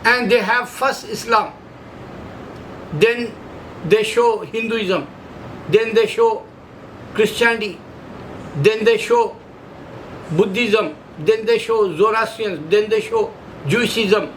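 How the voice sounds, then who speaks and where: A man's voice: high-pitched, takes it slowly, long pauses, fairly bright tone, a little hiss or hum, poor audio. A man from India whose first language is Urdu, speaking in broken English with an Indian accent, preaching in short emphatic phrases. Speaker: religious video maker. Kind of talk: solo preaching monologue.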